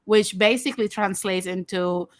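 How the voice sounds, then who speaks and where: A woman's voice: mid-range voice, steady tone, moderate pace, no room sound. A woman from Puerto Rico in her thirties, hosting a casual livestream chat and talking frankly and directly to her viewers. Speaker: host of a livestream talk show.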